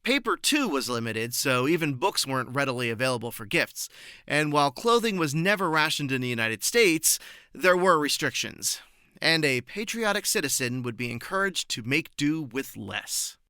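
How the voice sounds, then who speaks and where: A man speaks as an interview guest in a bright, forward voice at a middling pitch. His answers sound prepared and explanatory, with articulate, measured phrasing. The sound is very dry, with no room on it. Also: sing-song, clear, resonant tone, no echo.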